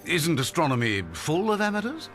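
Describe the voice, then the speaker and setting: An actor playing a mild, flustered gentleman in a radio drama, hesitant and understated. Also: on the high side, sing-song, moderate pace, faint music behind. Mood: wry, hesitant.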